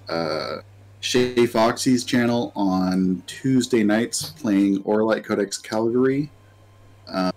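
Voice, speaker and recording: A woman playing in a tabletop game, talking in a sleepy, halting way. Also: extremely low pitch, a little mellow. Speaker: player. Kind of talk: casual chat on a game stream.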